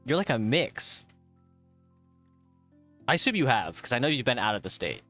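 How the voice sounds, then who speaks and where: A man's voice: mid-range voice, very animated, moderate pace, fairly bright tone. A young man of Thai heritage chatting casually on a live stream, reading chat aloud, swearing and laughing often. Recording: faint music behind.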